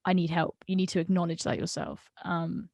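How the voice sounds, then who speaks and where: A woman from the UK speaks on a video call with a slightly low voice. She talks frankly and with energy, and her words tumble and restart. The sound is dry and close. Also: brisk, dry recording. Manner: frank and animated, stumbling over words. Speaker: guest friend. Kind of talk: casual video-call chat.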